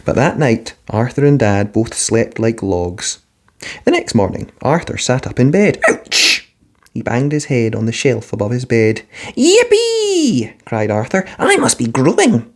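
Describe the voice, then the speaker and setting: A man reading a children's picture book aloud in a gentle, expressive bedtime-story manner. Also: mid-range voice, measured pace.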